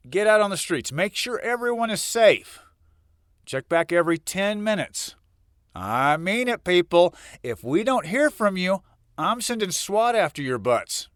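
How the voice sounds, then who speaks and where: An actor playing a gruff, commanding male police boss in an audio drama, bossy and blunt. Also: high voice, a bit bright, dry recording, clean studio sound, exaggerated voice.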